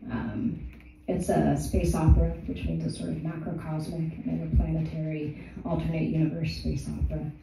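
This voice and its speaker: A woman's voice: deep voice, level tone, moderate pace, gravelly, few pauses, background noise. A middle-aged woman author chatting hesitantly with fillers at an open mic in a small gallery, then reading her story. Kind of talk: casual talk and story reading. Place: small art gallery, indoors. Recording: echoey gallery from far off.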